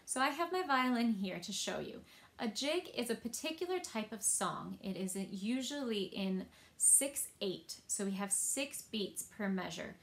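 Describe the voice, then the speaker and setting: Woman, thirties, children's story time presenter. Simple, explanatory delivery, recorded at home about a metre from the mic. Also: a little high. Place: small indoor room at home.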